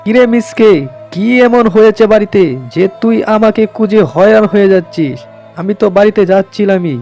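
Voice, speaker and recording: A man's voice: high voice, fairly even intonation, brisk, mellow, faint music behind. A man voicing a stern, fretful father fox in a cartoon, scolding and lamenting. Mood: puzzled. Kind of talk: cartoon voice acting.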